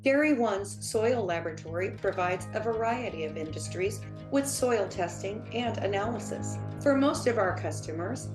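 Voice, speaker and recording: A woman announcer giving a scripted ad read with slow, careful delivery. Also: mid-range voice, animated. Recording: roomy with music underneath. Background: guitar music.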